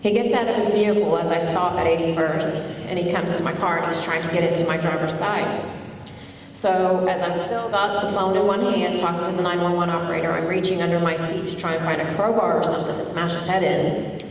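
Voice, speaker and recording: A woman's voice: fairly low pitch, brisk. Middle-aged woman telling a story in a hall. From Tulsa, Oklahoma, United States. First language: Turkish. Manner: conversational, animated when retelling events. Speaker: speaker at a community dialogue event. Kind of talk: personal storytelling speech. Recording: echoey hall, distant mic, faint background noise.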